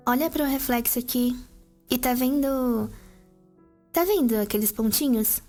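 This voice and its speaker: A woman's voice: fairly high pitch, a little mellow, close to an everyday voice. A woman playing a sweet, eager young vampire sister in an ASMR roleplay, talking in a chatty, girlish way.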